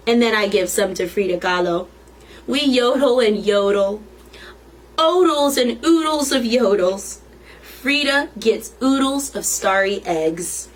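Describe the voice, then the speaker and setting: A woman's voice: fairly high pitch, low-quality recording. A woman reading a children's picture book aloud as a storytime reader, expressively and with questions to the listeners.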